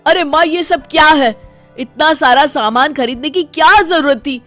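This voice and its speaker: Woman doing a fed up young husband from a cartoon story, complaining, highish pitch, slow. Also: a bit bright, soft background music. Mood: exasperated. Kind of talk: animated moral story dialogue.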